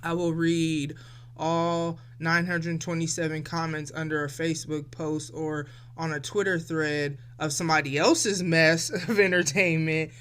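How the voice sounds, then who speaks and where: A woman's voice: low voice, little pitch movement, measured pace, a bit bright. A woman of forty hosting a casual solo podcast, talking in a reflective, loose and chatty way straight to her listeners. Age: 40s. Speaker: podcast host.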